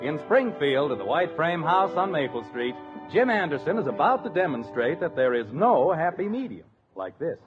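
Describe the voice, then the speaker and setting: A man's voice: on the high side, big pitch swings, moderate pace. A man delivering scripted announcements and narration in a 1950s radio show style. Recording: dull old radio recording. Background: music.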